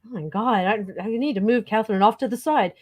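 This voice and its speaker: A woman plays an awkward young royal man who gets flustered and tries to steer the talk away. The voice sits a little high, and he sounds stiffly polite. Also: quick pace, natural voice.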